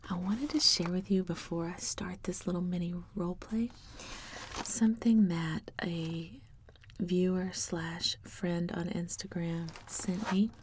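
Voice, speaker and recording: A woman in her thirties doing ASMR in a slow, soothing whisper, close to the mic. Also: fairly low pitch, fairly even intonation, slight background noise. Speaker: ASMR video creator. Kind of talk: ASMR whispering, gentle makeup roleplay.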